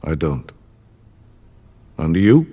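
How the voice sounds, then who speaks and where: Commanding older monarch for a fantasy game: low, slow, curt and cold, in a reverberant hall. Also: male voice, very animated, warm tone, husky, exaggerated voice. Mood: cold, probing. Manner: curt, measured, imperious. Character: commanding, cold, imperious older male ruler. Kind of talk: cinematic fantasy game acting. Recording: long echo of a large hall.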